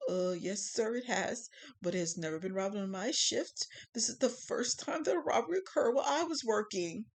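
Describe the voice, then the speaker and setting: A woman's voice: fairly high pitch, moderate pace. A woman voicing a nervous young male cashier accused of stealing in a classroom courtroom skit. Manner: shaky, tearful protesting.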